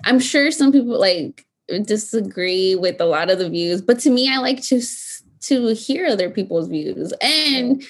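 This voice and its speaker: A woman's voice: mid-range voice, animated, measured pace, hardly any pauses. Bubbly laughing woman host. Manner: enthusiastic, laughs often, fillers like "like" and "um". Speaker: podcast host. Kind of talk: casual interview chat.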